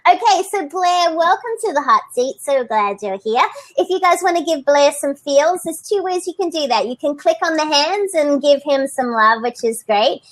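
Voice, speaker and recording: Woman host, very high bright voice, upbeat chatty live stream, computer mic. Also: animated, normal speed, few pauses, poor audio. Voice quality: high, light voice. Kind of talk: casual live video chat. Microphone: computer mic on video call.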